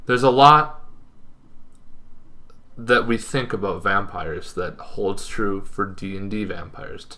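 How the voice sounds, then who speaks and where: A young man hosting an informal explainer to camera, speaking emphatically with halting, dramatic pauses. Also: on the low side, bright, forceful tone. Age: young adult. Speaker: host of a tabletop gaming series. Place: bedroom, indoors.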